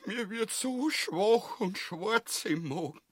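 A grotesque, pompous little tyrant with a high-pitched voice and a broad Viennese accent. He blusters and whines, greedy and cowardly, in coarse comic stage style. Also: animated, takes it slowly. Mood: fearful whining. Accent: Viennese Austrian.

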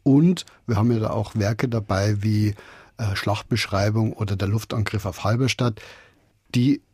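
Guy being interviewed about directing radio plays, husky voice, dry close sound, feels around for words. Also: fairly low pitch, normal speed, darkish tone, no echo. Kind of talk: conversational interview anecdotes.

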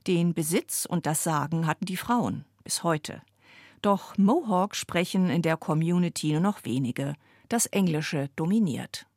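A woman narrates a radio report in a clear, even way. Her voice sits a little low for a woman. The recording is close and dry. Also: measured pace, no echo.

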